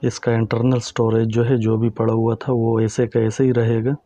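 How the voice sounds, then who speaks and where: A man calmly narrating a step-by-step phone tutorial and talking to viewers as friends. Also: medium pitch, moderate pace, muffled recording, faint music behind. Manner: calm, instructive, addresses viewers as friends. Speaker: tech tutorial host.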